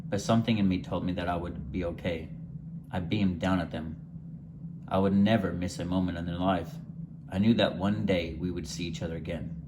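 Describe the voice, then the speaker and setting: A man reading his own first-person short story aloud in a gentle, unhurried way, sometimes stumbling over a word. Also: sits low. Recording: noticeable background hiss.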